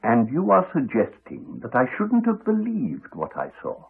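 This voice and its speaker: An actor with an English accent playing a crotchety, evasive village doctor with dry, formal courtesy, in a muffled 1980s radio drama. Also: male voice, mid-range voice, wildly swooping pitch, vocal fry, husky, full character voice. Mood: dryly evasive. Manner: dry, formal, measured politeness. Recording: muffled, band-limited 1980s radio recording.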